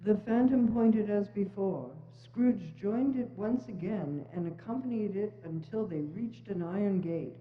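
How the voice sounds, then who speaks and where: A woman with a medium-pitched voice narrates a classic story from a script. The sound is echoey and somewhat dull, as in a church hall. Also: unhurried, few pauses.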